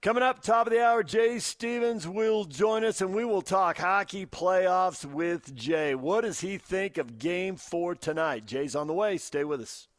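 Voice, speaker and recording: A man's voice: sits high, unhurried, few pauses, no room sound. A man co-hosting a sports radio show, chatting casually and offering firm opinions from a close studio mic. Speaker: sports talk radio co-host. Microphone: close studio broadcast mic.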